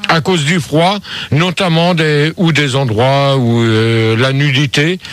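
A man hosting a comic radio phone-in, halting and easily flustered, his talk full of hesitations. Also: on the high side, steady tone, no room sound.

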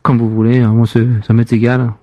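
A man's voice: mid-range voice, completely flat delivery, brisk, dry recording, dull audio. A French man hosting a radio show, joking around and playing a prank call in broken English. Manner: hams it up in broken English, laughing often. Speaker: radio host.

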